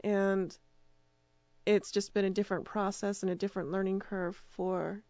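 A woman's voice: mid-range voice, level tone, normal speed, darkish tone, dry recording, lo-fi sound. A woman speaking as a radio drama producer and director, giving casual answers in an interview.

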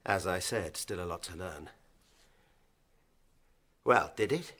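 An actor playing a smug, cold-blooded young constable turned killer, with a British accent, in a radio drama. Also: male voice, deep voice, slow pace, fairly bright tone, slightly rough, full character voice. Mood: matter-of-fact.

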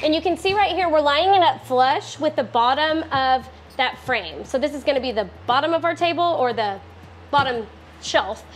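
High-voiced woman chatting through a build. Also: expressive, normal speed, slight background noise. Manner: chatty, self-correcting, laughs often. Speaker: DIY woodworking host. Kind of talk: casual build tutorial. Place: home garage workshop.

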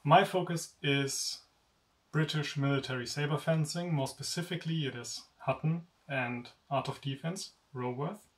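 A young man with a German accent, a native German speaker, chatting casually through a sword review in a room lined with swords, in a relaxed, self-deprecating way. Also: a little high, normal speed, far from the mic. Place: indoor room with swords on wall rack. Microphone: camera at chest-up framing.

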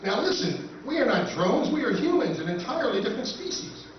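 A man playing a quick-witted stranded soldier who schemes with his partner, in a live stage recreation of an old-time radio drama. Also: very high voice, a little hiss or hum. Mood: scheming. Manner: wry, scheming, lively. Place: hotel function room stage with audience. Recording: echoey hall from far off, dull.

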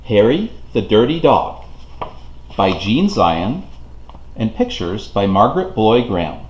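A man reading a children's storybook aloud in an expressive, deliberate way for young listeners. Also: fairly low pitch. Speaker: children's book reader. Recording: roomy, echoing home recording.

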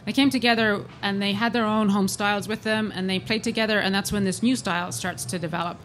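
Middle-aged woman moderator, halting delivery, faint tent ambience. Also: mid-range voice, steady tone, fairly bright tone, a little hiss or hum, low-quality recording. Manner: halting, self-correcting. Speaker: panel moderator. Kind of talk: introducing a panel segment. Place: large tent at outdoor festival. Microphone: stand mic.

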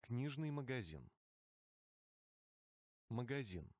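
A man reading single vocabulary words and short phrases aloud for a language course, with slow, careful enunciation. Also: mid-range voice, sing-song, darkish tone, no echo, clean studio sound. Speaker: language course audio reader.

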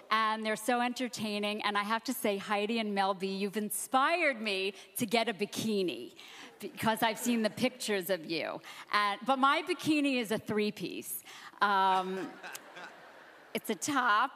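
A woman in her forties doing a stand-up set on a theater stage into a handheld mic, delivering deadpan self-deprecating one-liners. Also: mid-range voice. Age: 40s. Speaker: stand-up comedian. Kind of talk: stand-up set and backstage interview.

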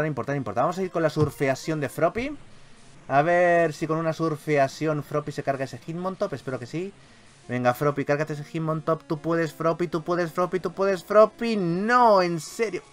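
A man from Spain hosts a gameplay video in a fairly high, very lively voice. His commentary is theatrical and foul-mouthed, with phrases often repeated. Faint game music plays behind him.

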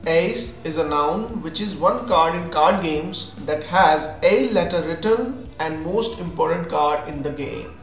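A man's voice: on the high side, measured pace, few pauses. A man with an Indian accent giving a scripted English vocabulary lesson, explaining in an instructional way. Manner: instructional, defining terms then giving examples. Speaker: English teacher. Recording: roomy echo with music behind.

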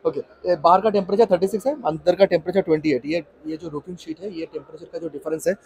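A man's voice: a little high, fairly even intonation, quick pace, gravelly, darkish tone, slight background noise, poor audio. A man from eastern India with an Indian accent, giving an emphatic, repetitive sales demonstration as an exhibitor. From Kolkata, India. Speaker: exhibitor, product seller. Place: industrial exhibition stall.

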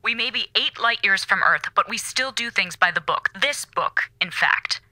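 An actress playing a stern, by-the-book space station commander, clipped, commanding and sardonic, in a radio drama. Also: female voice, medium pitch, animated, normal speed, sharp tone, no echo, rough recording. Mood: stern, threatening.

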